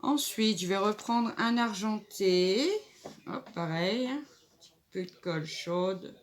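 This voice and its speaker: A woman's voice: mid-range voice, slow, slight background noise. A woman with a cold and a nasal voice, chatting casually as she makes a table decoration and thinks aloud. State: stuffy nose from a cold. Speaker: craft vlogger. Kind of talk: casual crafting tutorial.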